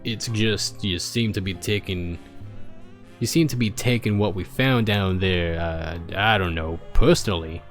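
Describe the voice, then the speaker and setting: A man voicing an earnest, plain-spoken male pony companion in a storybook reading, slow and hesitant. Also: low-pitched, soft background music. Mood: solemn, earnest.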